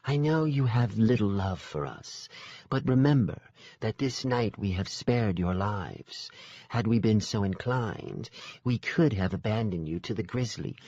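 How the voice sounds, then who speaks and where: A man's voice: lowish voice, a little mellow, no echo, lo-fi sound. A man voicing an elegant, stately royal fae sister who speaks formally. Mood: earnest.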